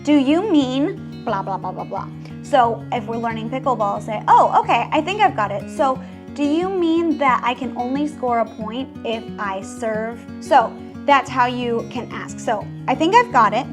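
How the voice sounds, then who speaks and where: A woman's voice: fairly high pitch, lively intonation, normal speed, music in the background. Woman explaining English phrases in voice-over. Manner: clear, explanatory. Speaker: English teacher and host. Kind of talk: voice-over lesson.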